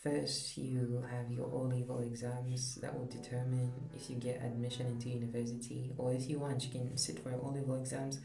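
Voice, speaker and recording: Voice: mid-range voice, level tone, a little fast, gravelly, hardly any pauses, dark tone. A young adult vlogger from Zambia, speaking in an earnest, candid opinion monologue with light laughs. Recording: echoey room with background music.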